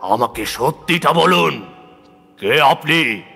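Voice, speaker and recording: A man tells a horror tale with slow, deliberate pacing and a sharp, forceful voice. His delivery is theatrical and suspenseful, swelling with emphasis. Long reverb trails his words, with music playing underneath. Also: fairly high pitch, expressive, creaky, slightly rough. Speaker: horror story narrator. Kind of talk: dramatic scripted horror narration, first-person storytelling. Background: background music.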